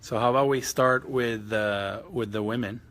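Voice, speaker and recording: A man's voice: mid-range voice, steady tone, normal speed, fairly bright tone. A man moderating a group discussion with short, encouraging prompts.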